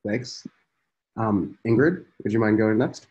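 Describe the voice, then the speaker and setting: Husky low-voiced man hosting a call. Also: measured pace, highs cut off. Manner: relaxed, many fillers. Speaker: program host. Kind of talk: casual video call presentation. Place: indoor room. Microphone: laptop video call.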